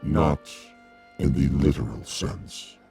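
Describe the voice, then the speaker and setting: Very deep, dark alien emissary. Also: male voice, gravelly, faint music behind, exaggerated voice. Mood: courteous, measured. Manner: formal, measured, politely detached. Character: giant male alien emissary, courteous, calm, unhurried. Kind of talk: radio drama acting.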